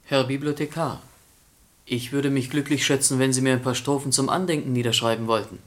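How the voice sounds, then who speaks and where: A man's voice: mid-range voice, steady tone, brisk, a bit bright, no echo, close to an everyday voice. An actor in a German radio play playing an educated, courteous literary man with polished phrasing. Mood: polite.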